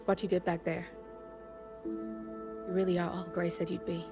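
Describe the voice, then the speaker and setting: A woman playing a subdued, flat-voiced ally. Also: fairly low pitch, measured pace, over music, natural voice. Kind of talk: video game cutscene acting.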